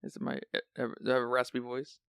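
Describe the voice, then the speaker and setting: Male podcast host: sleepy-sounding, gravelly, loose rambling delivery, dry close sound. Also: medium pitch, level tone, very fast, a bit bright, dry recording. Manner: chatty, rambling with restarts. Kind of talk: casual film discussion.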